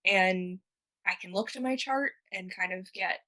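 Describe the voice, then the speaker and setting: Two to four sentences: A young woman hosts a relaxed podcast chat, and her voice sounds bright and forward. She talks loosely and candidly, drops the odd swear word and laughs easily. Her pitch stays at a middling level. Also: unhurried. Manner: chatty, swears casually, laughs often. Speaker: podcast host. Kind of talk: casual chat.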